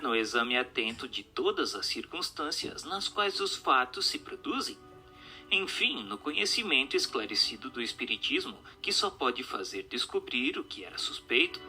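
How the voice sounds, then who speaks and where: A man narrates a prepared text in a very bright, forceful voice. His delivery is formal, measured and didactic, at a middling pitch. Music plays faintly behind him. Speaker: narrator of an audio book adaptation. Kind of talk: scripted narration, reading aloud.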